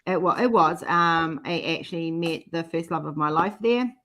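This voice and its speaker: A woman's voice: deep voice, normal speed, fairly bright tone. A middle-aged woman with a New Zealand accent, chatting casually and telling personal stories as a guest in a group conversation. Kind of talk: casual chat, personal storytelling.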